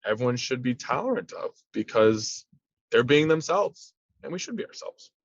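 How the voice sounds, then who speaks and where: Animated young man on dull dry audio. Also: mid-range voice, normal speed, dry recording. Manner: animated, acts out voices in quoted asides. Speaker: middle school teacher, guest. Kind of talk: casual conversational interview.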